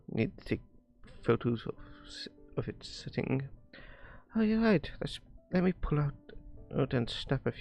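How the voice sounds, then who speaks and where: A man reading visual novel dialogue aloud on a live stream, haltingly, often stumbling over words and repeating phrases. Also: medium pitch, creaky, a little mellow.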